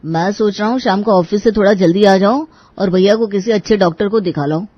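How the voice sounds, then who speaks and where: A woman's voice: medium pitch, fairly quick, dry recording, dull audio, close to an everyday voice. A woman voicing a naive, indignant younger husband in a family cartoon, earnest and protesting. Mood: earnest, concerned. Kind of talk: Hindi family cartoon voice acting.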